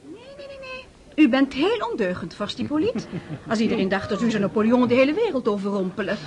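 An actress playing a gossipy high-society salon hostess in a radio drama, effusive, affected and playfully scolding. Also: female voice, big pitch swings, fairly quick, darkish tone. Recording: party chatter behind the voice.

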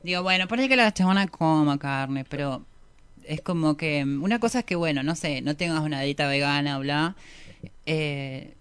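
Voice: medium pitch, moderate pace, fairly bright tone, clear, resonant tone, no room sound. Argentine studio guest, mid-range voice, rambling and irreverent, loose joking chat full of slang. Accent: Argentine Spanish. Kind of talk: casual, joking group chat. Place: radio studio booth.

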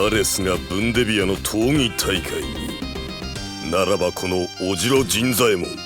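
A man's voice: deep voice, moderate pace, lightly acted. An actor playing a boastful wandering swordsman in an audio drama, with pompous, theatrical, archaic speech. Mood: self-satisfied, resolute. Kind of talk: Japanese audio drama acting. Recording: music under the voice.

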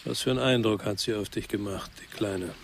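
Low-voiced brooding ex-cop. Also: male voice, steady tone, fairly quick, creaky, slight background noise. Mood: terse. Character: brooding male ex-policeman narrating his own crime story. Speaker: first-person narrator and lead actor. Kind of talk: literary radio crime drama narration.

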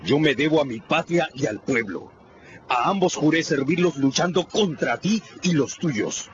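An actor playing a hard, contemptuous French revolutionary jailer, declaiming scornfully in a radio drama. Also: male voice, fairly high pitch, lively intonation, normal speed, lo-fi sound, a little hiss or hum, lightly acted. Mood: cold contempt.

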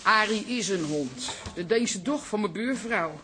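Chatty, complaining middle-aged auntie. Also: female voice, medium pitch, lively intonation, measured pace, sharp tone. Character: tired, chatty, plain-spoken neighbourhood auntie. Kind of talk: Dutch radio drama acting.

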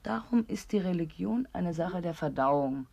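Teasing, macabre woman in radio drama: low-pitched, very lively intonation, theatrical and sardonic, husky. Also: unhurried, no room sound, full character voice. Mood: macabre, pontificating. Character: sly, macabre, teasing woman who devours her friends. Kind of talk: absurdist German radio play acting.

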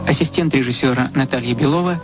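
A male announcer reads the closing credits of a radio play in a formal, measured manner. His voice sits at an ordinary middle pitch. Clear music plays underneath him.

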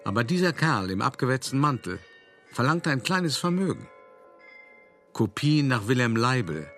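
An actor playing a calculating, urbane male art dealer who recounts his past deliberately and confidingly in a radio play monologue. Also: mid-range voice, vocal fry, a bit bright. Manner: deliberate, confiding, addressing the listener directly. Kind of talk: first-person dramatic monologue in a German radio play.

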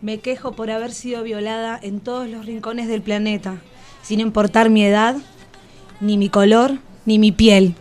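A woman's voice: fairly high pitch, flat delivery, normal speed, a little mellow, soft background music, lightly acted. An actress playing a wounded, bitter and defiant enslaved everywoman, reciting emphatically in poetic radio theatre. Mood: bitter, pained. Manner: solemn, emphatic poetic listing.

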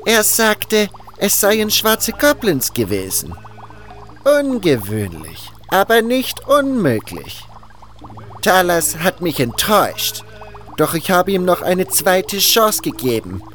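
A haughty, fanatical guru of a fantasy cult, acted by a man in an audio drama. He speaks slowly, hissing with contempt, and his pitch swings dramatically. Music plays behind the voice. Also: huge pitch swings, fairly bright tone, full character voice. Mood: impatient, condescending.

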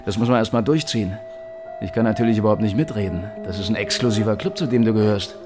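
A man's voice: lowish voice, fast, over music, lightly acted. An actor playing a cruel, taunting male officer in a radio drama, with sarcastic mockery. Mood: mocking. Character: sneering male Stasi-style officer, cruel and mocking.

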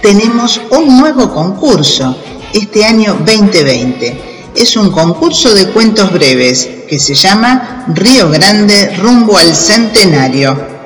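An Argentine man presenting a children's radio program, reading a scripted announcement deliberately, item by item. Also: high-pitched, big pitch swings, fairly bright tone. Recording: long reverb with music underneath.

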